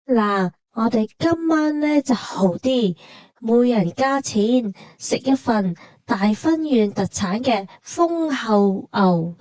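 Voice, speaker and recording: Mellow, higher-voiced Hong Kong woman narrating. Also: lively intonation, slow, no room sound. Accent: Hong Kong Cantonese. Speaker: travel vlog narrator. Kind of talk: casual scripted travel narration with teasing banter.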